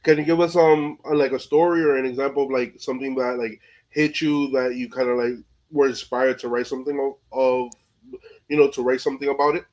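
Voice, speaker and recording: A man's voice: mid-range voice, flat delivery, a little fast, darkish tone, rough recording. A man hosting a casual interview, speaking in informal, slangy phrases peppered with filler words. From New York, USA. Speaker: podcast host interviewer.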